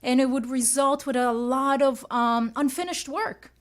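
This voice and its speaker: A woman's voice: high-pitched, measured pace. A woman from Switzerland, a guest artist, chatting casually in an interview and thinking aloud as she answers.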